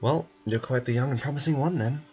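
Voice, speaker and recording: A fussy bureaucratic clerk from a video game, acted out by a man. He is officious and wordy, with a pushy, coaxing way of talking. His voice sits at a middling pitch, with music playing behind it. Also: a little fast, a little mellow, lightly acted. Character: fussy male bureaucratic clerk, pleased and pushy, nursing hurt leg. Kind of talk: video game character voice-over.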